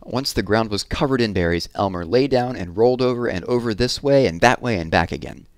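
A man in his thirties reading a children's story aloud expressively, with deliberate pauses and drawn-out words, on a headset mic. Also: lowish voice, dry recording, studio quality. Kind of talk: children's read-aloud narration. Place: small room at home, indoors.

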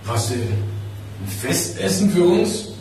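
A man's voice: high-pitched, very animated, slow pace, creaky, darkish tone, full character voice. Weary male mechanic, matter-of-fact, radio crime drama, strong echo, light noise. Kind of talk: naturalistic 1980s German radio crime drama acting. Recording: very echoey, distant, some noise.

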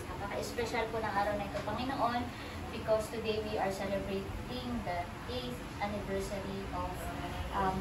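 Young woman, earnest devotional prayer, small home kitchen, handheld mic, distant from the camera. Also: medium pitch. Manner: earnest, devotional, steady cadence. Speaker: family member leading a prayer. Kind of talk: spoken prayer mixing English and Tagalog. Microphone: handheld mic, filmed by phone from across the room. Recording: roomy echo, voice off-mic, loud background noise.

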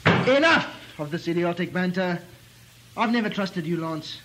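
A man's voice: high-pitched, animated, moderate pace, bright, forceful tone, slight background noise. An actor playing a suspicious, portentous man from a lonely moorland house in a comic radio melodrama, declaiming ominously. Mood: accusing.

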